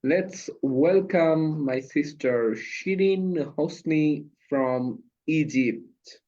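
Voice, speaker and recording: Slow-talking Bangladeshi man hosting a call. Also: on the high side, warm tone. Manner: slow, halting non-native English with fillers. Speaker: host of online speaking practice meeting. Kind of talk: casual video call chat. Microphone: video call. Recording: dull lo-fi call audio.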